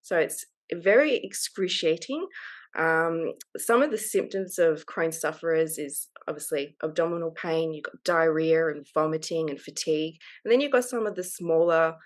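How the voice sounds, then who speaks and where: A woman's voice: sits low, normal speed. A woman in her thirties with an Australian accent, speaking candidly and openly as an interview guest. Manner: candid, open, laughs easily. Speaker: guest author. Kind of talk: casual interview conversation.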